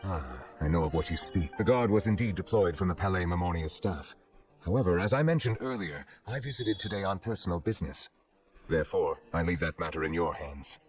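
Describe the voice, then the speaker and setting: A man voicing a dignified, reserved chief justice in an anime game, speaking formally in a measured, humble manner. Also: sits low, close to an everyday voice. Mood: composed, reserved. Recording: background music under the voice.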